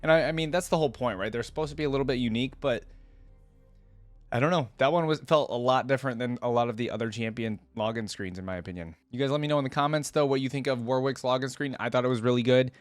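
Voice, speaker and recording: A man's voice: medium pitch, quick pace, no echo, soft background music. A man hosting a reaction video with casual, off-the-cuff commentary.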